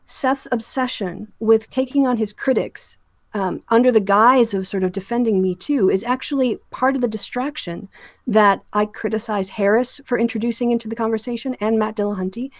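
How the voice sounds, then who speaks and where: A woman's voice: fairly high pitch, no echo. Emphatic woman delivering critical commentary, dry sound. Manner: emphatic, critical, direct address. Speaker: host of a discussion show. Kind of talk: opinionated commentary, rant.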